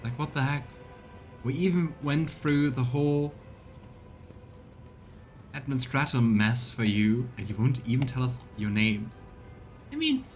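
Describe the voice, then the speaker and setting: A man giving casual let's play commentary and reading game dialogue aloud, with exasperated, sarcastic asides. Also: mid-range voice.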